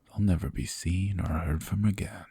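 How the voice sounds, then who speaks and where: A man voicing a gravelly, whispering demon king. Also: low voice, fairly even intonation, mellow, dry recording, heavily acted. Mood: mocking. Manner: slow, mocking, condescending. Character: cold sadistic male demon king, possessive. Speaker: voice actor. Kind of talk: ASMR roleplay audio.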